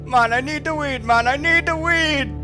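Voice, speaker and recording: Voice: high-pitched, normal speed, over music, close to an everyday voice. A voice actor playing a whiny stoner teenage boy who keeps begging for weed. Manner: whiny, repetitive pleading.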